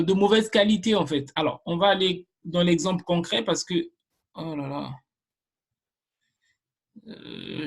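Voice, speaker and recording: A marketing trainer giving a live online class, explaining basics step by step to viewers, often restarting sentences and pausing on filler sounds. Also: mid-range voice, gravelly. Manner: addresses viewers directly and explains points step by step.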